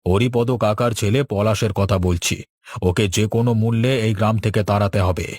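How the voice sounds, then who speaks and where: A man's voice: on the low side, steady tone, a little fast, a little mellow, no room sound, clean studio sound, lightly acted. A man voicing a scheming village strongman in a cartoon, conspiratorial and commanding. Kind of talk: cartoon dialogue.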